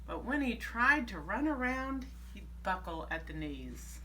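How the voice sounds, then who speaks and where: A woman reading a rhyming children's picture book aloud with measured, expressive phrasing and dramatic emphasis. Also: medium pitch. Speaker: storybook reader, teacher reading aloud. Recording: distant mic, faint background noise.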